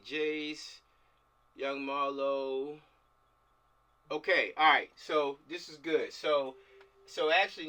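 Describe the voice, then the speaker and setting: A man with a fairly high, forceful voice directs actors in casual talk, circling back and repeating phrases as he explains. He sounds a little away from the mic on an uneven video call. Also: far from the mic, low-quality recording. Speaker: film director leading a table read. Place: online video call, distant microphone.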